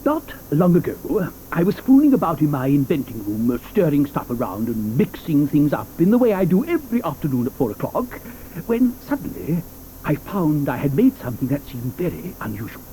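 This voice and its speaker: A whimsical, eccentric chocolate inventor in a studio audio drama, his voice pitched high and swooping widely, yet mellow in tone. He hesitates with little "uh"s, then spins a tale full of wonder. Soft music sits underneath. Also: wildly swooping pitch, a little fast, a little hiss or hum, poor audio. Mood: storytelling. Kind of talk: 1980s audio drama acting.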